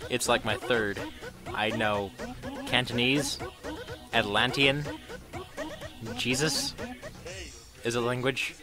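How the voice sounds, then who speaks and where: A young man riffing his way through a game, crude, rambling and self-mocking, his voice jumping into loud outbursts. His pitch sits in the middle range. Loud dubstep game music pumps underneath him. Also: steady tone, slow pace, long pauses. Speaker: gaming commentator. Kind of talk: casual let's-play commentary. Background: electronic dubstep game music.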